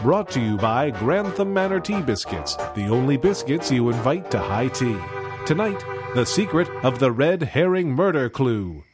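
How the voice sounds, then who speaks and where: A man's voice: medium pitch, big pitch swings, moderate pace. A man doing emphatic, theatrical announcing in the style of an old-time radio show. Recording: prominent music behind the voice.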